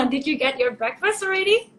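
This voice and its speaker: Indonesian-accented woman, twenties, bubbly live-stream host, lightly raised pitch. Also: animated, moderate pace, a bit bright, slight background noise. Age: 20s. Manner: giggly, chatty, switches between languages. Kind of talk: casual video call chat.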